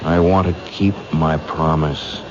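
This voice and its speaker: An actor playing a calm, menacing young male serial killer in a 1970s radio drama. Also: extremely low pitch. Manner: slow, quiet, menacing. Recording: dull noisy old radio broadcast.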